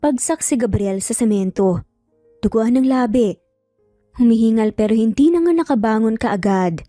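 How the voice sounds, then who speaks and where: A woman's voice: a little high, lively intonation, moderate pace, mellow, no echo, studio quality, faint music behind. A woman with a Filipino accent reading scripted dramatic narration as a storyteller.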